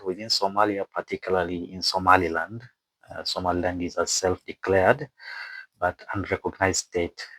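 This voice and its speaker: A man who is not a native English speaker narrates an explainer from a script with asides, haltingly, stumbling over words and repeating phrases. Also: sits low, bright, forceful tone, no echo, low-quality recording. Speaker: video presenter and narrator.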